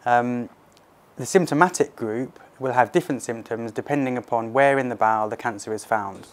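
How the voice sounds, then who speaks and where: Measured British man, clinical and explanatory. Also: medium pitch. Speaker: consultant colorectal surgeon. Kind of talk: explanatory interview aimed at doctors.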